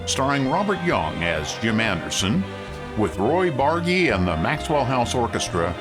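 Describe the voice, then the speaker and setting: A man's voice: mid-range voice, big pitch swings, unhurried. A man working as a vintage radio announcer, reading scripted narration and promotion. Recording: vintage radio broadcast with music behind.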